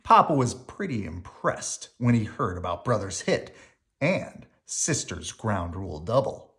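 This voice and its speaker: A reader narrating a children's picture book aloud in an expressive storytelling style. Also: mid-range voice, unhurried.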